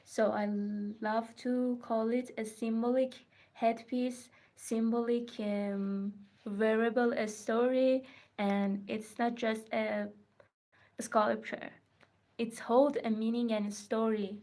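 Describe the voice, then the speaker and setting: A woman from Iran with a Persian accent, talking slowly in a fairly high voice. She hosts a crafting livestream from her studio, using simple, halting English and often repeating herself. Her speech sounds plainly non-native. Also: little pitch movement, a little mellow. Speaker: artist hosting a crafting livestream. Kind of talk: casual livestream chat.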